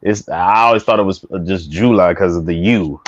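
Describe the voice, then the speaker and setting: Deep-voiced American man, dull lo-fi sound. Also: normal speed, a little hiss or hum. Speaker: panelist. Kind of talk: casual joking chat. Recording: close dry mic, dull lo-fi audio.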